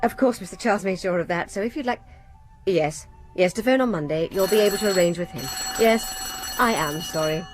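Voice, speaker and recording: An actress playing a polite, apologetic but firm British dental receptionist in a radio drama, with a prim manner. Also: female voice, medium pitch, fairly quick, over music, close to an everyday voice, poor audio. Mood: flustered, apologetic.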